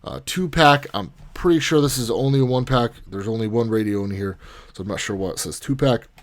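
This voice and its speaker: A man's voice: mid-range voice, a little fast. A man hosting a casual unboxing video, talking informally and explaining things step by step. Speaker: video host, product unboxer.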